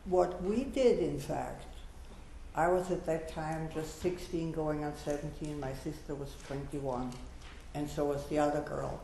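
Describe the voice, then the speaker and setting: An elderly woman in her eighties speaks in an earnest, measured way, with a mellow, somewhat dark tone and a fairly high pitch for her voice. She sounds echoey, as if heard across a large lecture hall, with faint room noise behind her. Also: vocal fry. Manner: earnest, measured, gently exhorting. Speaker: Holocaust survivor panelist. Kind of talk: personal testimony at a lecture.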